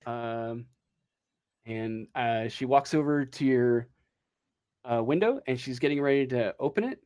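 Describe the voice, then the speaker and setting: Male game master for tabletop RPG, slow pace, halting improvised narration, mid-pitched voice. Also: a little mellow, clean tone. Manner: halting, searching for words.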